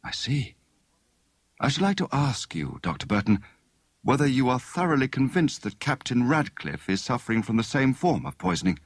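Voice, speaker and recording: A man voicing a brisk, confident gentleman detective in an audiobook, authoritative and courteous. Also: medium pitch, very animated, dry recording, clean studio sound, pitched above the performer's own voice. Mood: courteous, businesslike.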